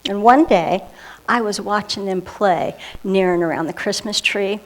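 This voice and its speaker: A woman telling a story and rhyming poem to children, expressive, with hushed moments. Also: medium pitch, measured pace, rough recording.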